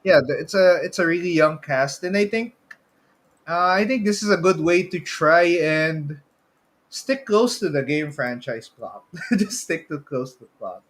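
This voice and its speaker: A man's voice: on the high side, expressive, a little slow, fairly bright tone. A man with a Filipino accent co-hosting a podcast in casual, chuckly chat, often hesitating and repeating himself. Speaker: podcast co-host. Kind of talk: casual chat about video game movies.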